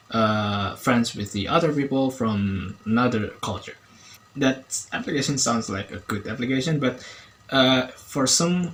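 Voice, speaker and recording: A man with an Indonesian accent giving a casual review, speaking haltingly and often rephrasing as he searches for words. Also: medium pitch, slight background noise. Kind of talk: casual app review to camera.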